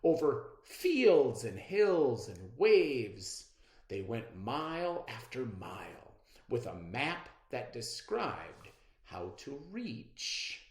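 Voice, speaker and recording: Male storybook narrator: theatrical, wildly varied intonation, very unhurried pacing, roomy sound. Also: mid-range voice, sing-song, a little mellow. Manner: theatrical, deliberate. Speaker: storybook reader. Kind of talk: expressive read-aloud narration.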